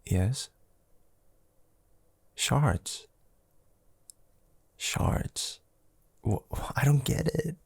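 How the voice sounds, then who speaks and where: A man playing an affectionate, teasing young boyfriend in an intimate ASMR roleplay, murmuring softly and hesitantly with a breathy, gravelly voice. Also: mid-range voice, expressive, drawn-out words, pauses often, no room sound, full character voice. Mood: flustered, playful. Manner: soft, hesitant, drawling, teasing.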